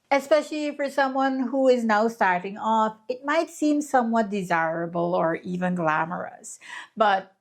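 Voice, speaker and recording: A woman in her thirties speaks straight to her viewers in a candid, reflective vlog. Her voice sits a little on the high side, and she talks in an earnest, personal way. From Guyana. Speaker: vlogger. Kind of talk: scripted reflective vlog narration.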